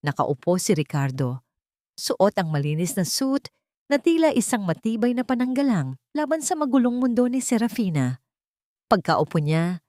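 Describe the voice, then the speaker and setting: A woman's voice: medium pitch, a little mellow, dry recording, clean studio sound. A woman reading scripted, dramatic love-story narration for an audio drama.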